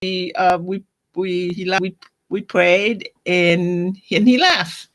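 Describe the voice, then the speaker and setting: A woman's voice: lowish voice, fairly even intonation. Reflective older woman with a Tongan accent. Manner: reflective, halting storytelling. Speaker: deacon, interview guest. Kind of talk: personal storytelling in an interview.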